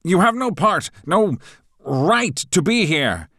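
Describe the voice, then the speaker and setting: A man voicing an excitable, flustered male professor who shouts his lines. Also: sits high, slow, no echo, studio quality, pitched above the performer's own voice, exaggerated voice.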